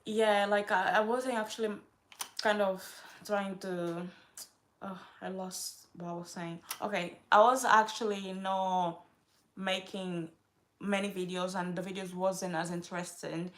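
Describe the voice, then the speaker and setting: A woman's voice: mid-range voice, steady tone, unhurried. A young woman from Africa talking casually to her camera as a vlogger, rambling and often interrupting herself as she loses her train of thought. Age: young adult.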